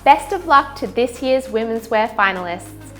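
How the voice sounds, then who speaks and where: A woman's voice: fairly high pitch, animated, normal speed, pushed and bright, soft background music. A woman narrating a scripted promotional introduction in a polished delivery.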